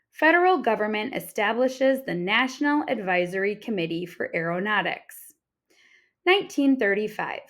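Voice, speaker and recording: High-pitched, expressive woman reading aloud. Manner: clear, expressive storytelling with deliberate phrasing for children. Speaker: teacher reading a children's book aloud. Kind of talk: read-aloud narration of an informational picture book.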